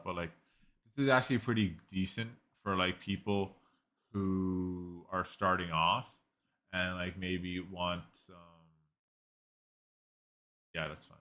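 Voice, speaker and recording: A man talks through a software review in a loose, rambling way, piling up "like" and restarting his sentences. His voice sits low but comes across bright and forceful. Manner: rambling, heavy use of "like", frequent restarts. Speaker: tech reviewer. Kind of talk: casual screen-recorded software review.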